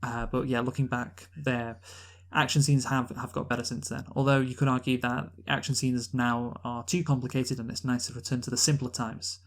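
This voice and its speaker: A man's voice: medium pitch, little pitch movement, moderate pace, few pauses. A man co-hosting a casual, unscripted film review talk, giving emphatic opinions and repeating his points. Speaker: podcast co-host reviewing films.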